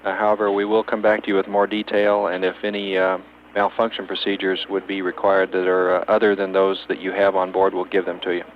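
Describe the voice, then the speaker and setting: A man's voice: low-pitched, completely flat delivery, a little fast. A man working as a spacecraft communicator, speaking in matter-of-fact procedural radio calls over a muffled, hissy archival link. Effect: two-way space radio. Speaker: capsule communicator at mission control.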